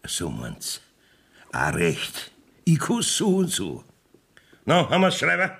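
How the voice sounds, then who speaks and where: An actor playing a blustering, evasive rustic village judge in a radio play, folksy and bossy, with a Bavarian accent. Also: male voice, mid-range voice, wildly swooping pitch, slow, gravelly, fairly bright tone, exaggerated voice. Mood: gruff, officious. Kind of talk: 1970s dialect radio play acting.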